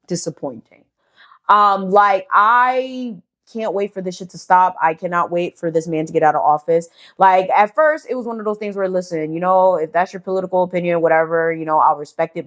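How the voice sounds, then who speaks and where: A young American woman giving blunt, heated, profane commentary to camera, with lots of rhetorical questions. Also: lowish voice. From United States. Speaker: vlogger.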